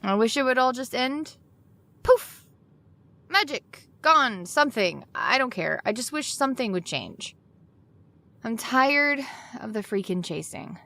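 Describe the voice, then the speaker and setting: A woman's voice: fairly high pitch, lively intonation, unhurried, gravelly, sharp tone, a little hiss or hum, heavily acted. Weary, frustrated young woman. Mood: exasperated, fed up. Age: young adult. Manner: casual, exasperated. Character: weary, frustrated young woman, sarcastic with her best friend. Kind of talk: audio drama acting.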